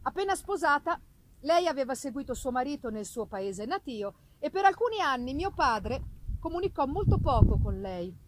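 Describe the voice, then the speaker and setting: Woman doing a sad ruined gentleman telling his story, high voice, grave and formal, storybook reading, bit noisy. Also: very animated, moderate pace. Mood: formal, tender recollection. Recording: noticeable background noise, live performance reading.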